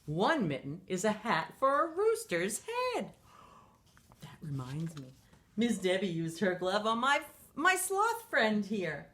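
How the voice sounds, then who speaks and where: A woman's voice: medium pitch, big pitch swings, slow, fairly bright tone, slight background noise. A middle-aged woman reading a picture book aloud to young children in a playful, sing-song manner. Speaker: children's storytime reader.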